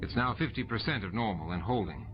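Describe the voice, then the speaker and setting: A man's voice: lowish voice, lively intonation, fast talker, noisy background, close to an everyday voice. Man reading as harried male engineer, muffled. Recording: muffled audio under loud music.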